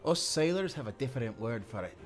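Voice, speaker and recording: A man's voice: mid-range voice, expressive, fairly quick, soft background music, close to an everyday voice. Man playing earnest timberman sailor. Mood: gentle, reassuring. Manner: earnest, old-time seafaring speech. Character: kind, principled male timberman sailor of the 1790s. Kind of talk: cinematic audio roleplay.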